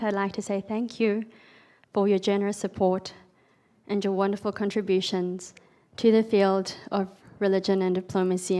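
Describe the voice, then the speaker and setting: A woman raised in South Africa, a guest violinist giving brief formal remarks to an audience at a dinner. She speaks in a measured, gracious way. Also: mid-range voice, darkish tone.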